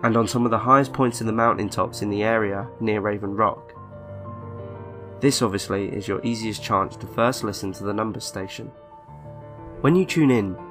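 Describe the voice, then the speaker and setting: A man reading a scripted online horror story in a measured, deliberate way, with pauses between phrases. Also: fairly low pitch, a bit bright. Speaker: narrator of a creepypasta video. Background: background music.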